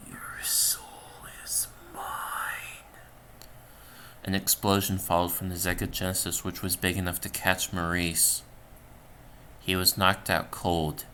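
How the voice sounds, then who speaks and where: A man reading a scripted horror story as narrator, somewhat halting with stumbles, on a home recording. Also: low voice, little pitch movement, pushed and bright, slight background noise. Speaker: storyteller, channel creator.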